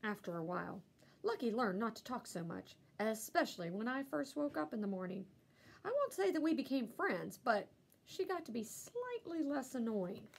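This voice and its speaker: A woman narrates a children's story as a teacher would, with expressive, engaged delivery. Her voice is high and very lively in pitch, with some creak and huskiness. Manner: animated, pausing to ask listeners questions. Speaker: elementary school teacher reading aloud.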